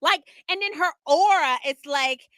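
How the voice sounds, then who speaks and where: A woman's voice: sits high, measured pace, fairly bright tone, dry recording, studio quality. A woman co-hosting a casual political talk show, sassy and emphatic. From Maryland, United States. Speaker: co-host.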